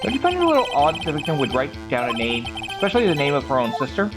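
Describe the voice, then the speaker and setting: A man's voice: a little high, huge pitch swings, rapid-fire. A man voicing a confident young defence lawyer in a courtroom game, questioning in a pointed, insistent way. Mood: skeptical. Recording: music behind the voice.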